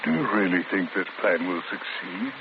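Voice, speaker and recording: Patient prison priest, played by a man, lowish voice; 1940s radio play, phone-thin sound with static. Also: natural voice. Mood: pleading. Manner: measured, pleading, gentle. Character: calm gentle male prison priest, patient. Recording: tinny old radio broadcast with static.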